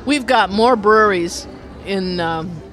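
A community radio organizer talks in a casual interview at a mid-range pitch. The explanations ramble along with animation, circling back and piling up detail. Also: takes it slowly, a bit bright, faint music behind, low-quality recording. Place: inside a brewery restaurant.

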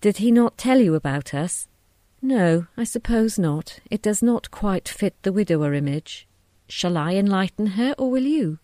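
Female reader as sardonic grown woman, measured, wry, mellow tone, dry close sound, storybook drama. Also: mid-range voice, animated, no room sound, close to an everyday voice. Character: cool, sardonic adult daughter exposing her father.

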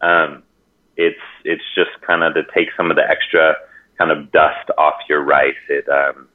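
A man from Hawaii explaining things casually and haltingly, pausing often mid-sentence, over a call. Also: extremely low pitch, little pitch movement. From Hawaii, United States. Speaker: interview guest, natural farming expert. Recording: narrow tinny phone-like line.

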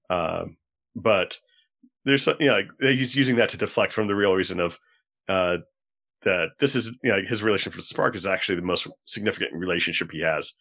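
A man's voice: sits low, brisk, creaky, pushed and bright. A man on a podcast panel explaining ideas analytically, piecing them together as he goes, on slightly lo-fi audio.

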